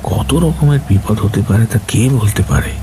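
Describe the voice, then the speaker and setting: An actor playing a mysterious, calm man on a night train in an audio horror story, speaking tersely and without hurry. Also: on the low side, normal speed, noisy background, close to an everyday voice. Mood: hushed warning. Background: music, rain and thunder.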